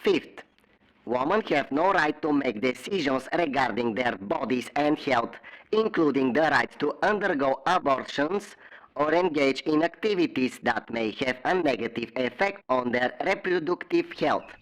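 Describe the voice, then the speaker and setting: A man playing a stern party herald in an audio drama, proclaiming in a formal, measured, declamatory way. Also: mid-range voice, lively intonation, a bit bright, low-quality recording. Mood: stern, official. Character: stern official party herald of a totalitarian state. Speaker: voice actor.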